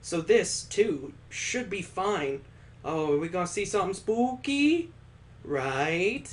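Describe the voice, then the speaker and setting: A man's voice: high voice, sing-song, slow. A man reading a visual novel aloud as a let's play host, dropping in wry, casual comments of his own. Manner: wry, joking asides between read passages.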